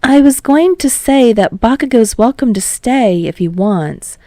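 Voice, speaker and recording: Woman acting a gentle, caring mom. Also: a little high, expressive, a little slow, dry recording, clean studio sound, pitched above the performer's own voice. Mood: gentle, concerned. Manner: gentle, reassuring, casual. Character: gentle, considerate mother of a teenager.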